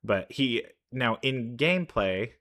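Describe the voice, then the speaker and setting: A man's voice: mid-range voice, expressive, slow pace, a bit bright. A man giving casual game trailer commentary as a reaction host, thinking aloud in an enthusiastic, rambling way. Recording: music behind the voice.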